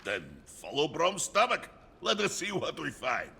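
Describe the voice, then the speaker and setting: An actor playing a big, warm-hearted warrior from a frozen land in a video game, with a husky, gravelly voice and a hearty storytelling manner. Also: male voice, a little high, very animated, a little slow, a little hiss or hum, heavily acted. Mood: jovial. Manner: hearty storyteller, speaks of himself in third person. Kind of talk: dramatic video game acting.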